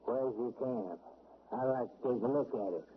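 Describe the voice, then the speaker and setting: A man's voice: mid-range voice, moderate pace, natural voice. A shrewd, stern rural sheriff, played by an actor, who drawls his questions in a folksy way. He belongs to a 1970s radio mystery. The sound is tinny and thin like an old broadcast, with a faint hiss under it. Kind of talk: 1970s radio drama acting.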